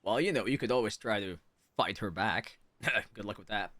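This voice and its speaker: A man giving casual, teasing reaction commentary as he streams a game, quick to exclaim. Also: fairly low pitch, fairly bright tone, a little hiss or hum.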